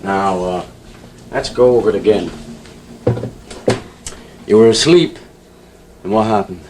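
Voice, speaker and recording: An actor playing an abusive, bigoted small-town shopkeeper in a dramatic vintage television style, badgering and repeating himself insistently. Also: male voice, fairly low pitch, unhurried, background noise, natural voice. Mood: coercive, pressing.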